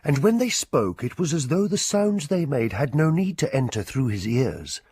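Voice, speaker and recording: A man giving dramatic scripted narration in a hushed, ominous, deliberate manner. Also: mid-range voice, sing-song, breathy edge, dry recording.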